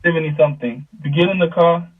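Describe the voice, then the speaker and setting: A man's voice: a little high, quick pace, breathy edge. A young South African man chatting casually in slangy storytelling style, acting out bits of dialogue, over a video call. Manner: slangy, animated retelling with quoted dialogue. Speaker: music artist, interview guest. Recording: thin phone-like video call, distant.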